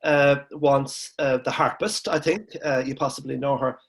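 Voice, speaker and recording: A man's voice: mid-range voice, fairly even intonation, normal speed, fairly bright tone, slight background noise. A man moderating a webinar, asking a panellist polite, hesitant questions. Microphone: video call.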